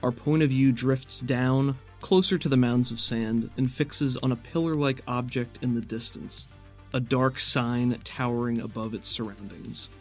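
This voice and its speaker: A man runs a tabletop game as game master, narrating scenes in a measured, cinematic way. His voice sits at a middle pitch, with faint music underneath. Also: fairly even intonation.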